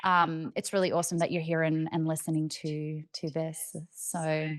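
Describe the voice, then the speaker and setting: A young Australian woman talks in a chatty, explanatory way, as if teaching a friend. Her voice sits a little low for a woman and is recorded dry and close. She thinks aloud as she goes. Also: completely flat delivery, normal speed, no echo. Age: 20s to 30s. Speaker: financial educator on cryptocurrency. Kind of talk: casual explanatory talk.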